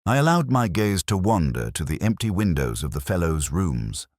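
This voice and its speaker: Male narrator for literary detective fiction: extremely low voice, expressive intonation, measured and solemn. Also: no room sound, studio quality. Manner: measured, reflective, solemn delivery.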